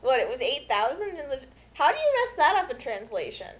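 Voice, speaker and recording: A woman's voice: sits high, huge pitch swings, a little fast. A woman doing casual comedic commentary in an emphatic, incredulous manner.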